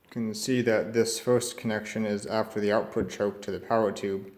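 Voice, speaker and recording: A man's voice: fairly low pitch, little pitch movement, normal speed, darkish tone. A man giving a casual hands-on demonstration, tentative and thinking aloud as he works. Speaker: vintage radio repair hobbyist narrating. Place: indoor room at a cluttered workbench. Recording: echoey room with faint background noise.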